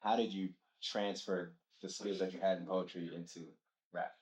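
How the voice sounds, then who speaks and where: A man's voice: low voice, flat delivery, moderate pace, darkish tone, slight background noise. A man hosting a radio interview, asking questions in a slangy, easygoing way and sometimes stumbling over them. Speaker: radio show host. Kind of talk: casual interview chat.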